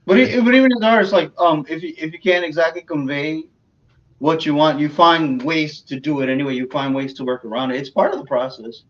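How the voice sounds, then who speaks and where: Male panelist, upper-middle register, reflective halting chat, recorded off-mic with a dull lo-fi tone. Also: a little high, fairly even intonation. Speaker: interview panelist. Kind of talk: casual chat. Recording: distant, dull lo-fi call audio.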